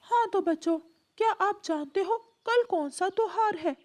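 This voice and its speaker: Parrot schoolmaster, cartoon, high-pitched, kindly, instructive, projected, acted by a woman. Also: moderate pace, darkish tone. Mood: cheerful, announcing.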